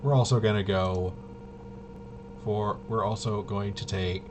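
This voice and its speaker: A man thinking aloud through solo tabletop game commentary, casual and halting, often muttering to himself as he works things out. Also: fairly low pitch, fairly even intonation, noisy background. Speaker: tabletop RPG player and presenter.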